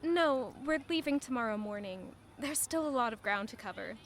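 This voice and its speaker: A woman's voice: a little high, animated, normal speed, a little hiss or hum. An actress playing a kind, earnest royal woman in a reflective audio drama. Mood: kind, reassuring.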